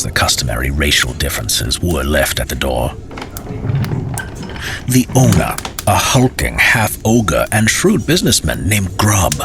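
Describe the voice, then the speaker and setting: A man narrating a fantasy tale as a storyteller, with dramatic, theatrical and courtly phrasing. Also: very deep voice.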